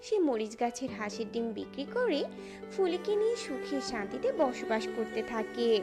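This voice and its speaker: A woman's voice: a little high, big pitch swings, normal speed. Woman narrating fairy tales over music. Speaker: story narrator. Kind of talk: scripted fairy-tale narration.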